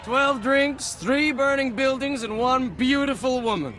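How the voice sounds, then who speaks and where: A man playing a grandiose, reckless party reveler in a video game, loudly declaiming. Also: very high-pitched, unhurried, heavily acted. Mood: jubilant. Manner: loud declaiming. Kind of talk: video game dramatic acting.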